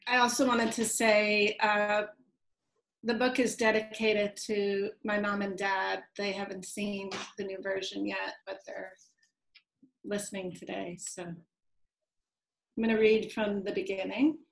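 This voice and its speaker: A woman author on a video call, reading her prose poems in a soft, measured way. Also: mid-range voice, level tone, gravelly, poor audio. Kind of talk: slow poetry reading.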